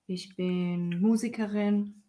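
A woman's voice: mid-range voice, fairly even intonation, takes it slowly, warm tone. A woman from Iran, a musician and guest, speaking thoughtfully with frequent fillers. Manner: thoughtful, fillers, varying pace. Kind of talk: casual interview talk.

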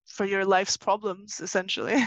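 Woman from turkey being interviewed, explains stuff conversationally, mid pitch, really dry sound. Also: level tone, normal speed, no room sound. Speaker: interview guest, author and financial journalist.

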